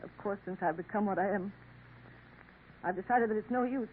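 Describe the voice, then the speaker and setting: An actress playing a sorrowful young woman in a 1950s radio drama, gentle and hesitant. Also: medium pitch, brisk, natural voice. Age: young adult. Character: sorrowful young woman working a Paris café. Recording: tinny old radio broadcast, faint hiss.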